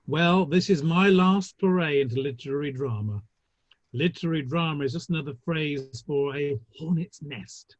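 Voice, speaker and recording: A man playing a breezy, flippant Victorian literary gentleman with an arch, old-fashioned turn of phrase, in a play reading. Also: fairly high pitch, moderate pace, no echo, highs cut off, natural voice. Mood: wry greeting.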